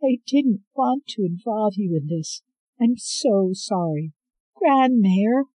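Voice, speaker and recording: A frantic young woman, played by the audiobook reader, speaks urgently through tears. The voice jumps high and loud above the narration, with a husky grain. It sits close and dry. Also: lively intonation, takes it slowly, no echo, low-quality recording. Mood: desperate. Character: desperate young woman rescuing her grandmother.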